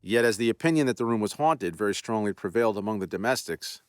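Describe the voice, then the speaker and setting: A man playing a regretful castle lord, courteous and measured, speaking in a hushed tone. His voice is low and the sound is dry and close. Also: expressive, clean tone, no room sound, natural voice. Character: sincere, regretful male lord and castle host.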